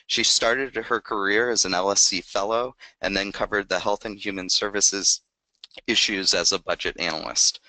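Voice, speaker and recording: Man, webinar host, bright sharp tone, dry close sound, reads introductions. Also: fairly low pitch, no room sound, low-quality recording. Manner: plain, reads a guest introduction.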